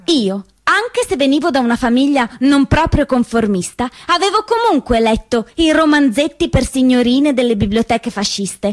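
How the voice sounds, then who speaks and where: An actress playing a spirited, naive young Italian partisan girl in an animated, emphatic theatre monologue. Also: high voice, normal speed, few pauses. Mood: indignant. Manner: animated, emphatic, swinging between hushed narration and exclamation.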